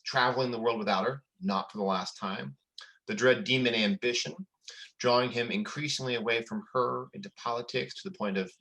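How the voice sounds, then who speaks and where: A man narrates as host of a historical reading. His voice is bright and projected, at an average male pitch. He comments in literary, somewhat theatrical phrases. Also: rough recording.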